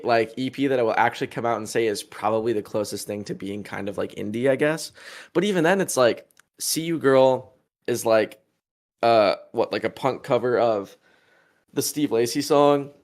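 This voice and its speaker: A man's voice: mid-range voice, moderate pace. A man in his twenties, a musician answering an interview in a rambling, heated way, full of fillers and crude insults. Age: 20s.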